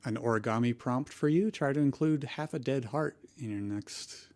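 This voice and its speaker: Male comedy panelist, medium pitch, casual joking banter. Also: normal speed, a little mellow. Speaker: panelist and reader on a comedy show.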